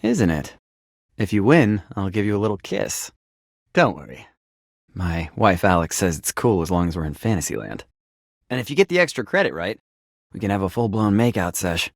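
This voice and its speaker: A man's voice: deep voice, sing-song, normal speed, no room sound, studio quality, exaggerated voice. A man voicing a friendly, geeky, playful game writer in a video game, chatty, informal and enthusiastic. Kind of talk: video game character voice acting.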